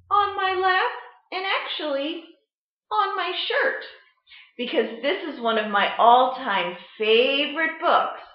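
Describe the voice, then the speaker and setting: A middle-aged American woman presenting a storytime lesson for children, explaining in a deliberate, animated way. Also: a little high. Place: indoor room at home, seated at a table. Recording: muffled webcam sound in echoey room.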